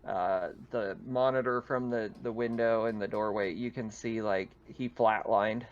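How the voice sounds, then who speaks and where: A man's voice: lowish voice, fairly even intonation, unhurried, lo-fi sound. A man running a tabletop horror game as game master over online voice chat, describing scenes in casual, conversational talk. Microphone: online voice call.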